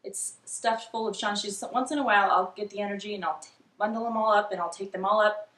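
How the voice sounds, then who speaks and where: A woman in her thirties with an average-pitched voice talks in a casual, chatty way, like a mother on a family vlog. Her delivery is loose and giggly. The room adds a noticeable echo. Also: fairly even intonation, fast talker, fairly bright tone. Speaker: vlogger mother. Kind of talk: casual couple Q&A chat. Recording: roomy indoor echo.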